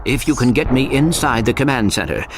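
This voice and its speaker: An actor playing a small, polite robot sidekick in a video game, speaking precisely and earnestly. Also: male voice, mid-range voice, very animated, fairly quick, slight background noise. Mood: confident, precise. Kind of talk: animated video game cutscene acting.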